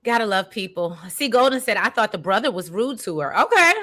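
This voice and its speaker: A woman's voice: medium pitch, expressive, pushed and bright. A woman co-hosting a casual recap show, reading viewer comments aloud and chiming in agreeably. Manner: reads comments aloud, agreeable, quick affirmations.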